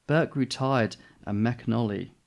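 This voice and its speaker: A man's voice: mid-range voice, normal speed, creaky. A man reading a children's story aloud expressively, as the host of a read-aloud. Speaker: storybook reader, channel host.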